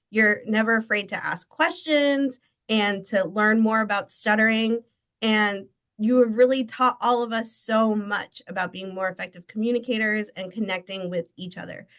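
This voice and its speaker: A woman with a fairly high voice speaks over a video call, praising a student emphatically and introducing the next speaker. Her delivery is upbeat and encouraging.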